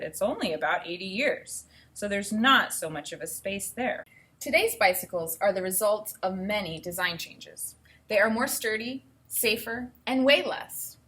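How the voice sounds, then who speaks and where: A woman teacher giving an instructional read-aloud, explaining and thinking out loud as she goes. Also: mid-range voice.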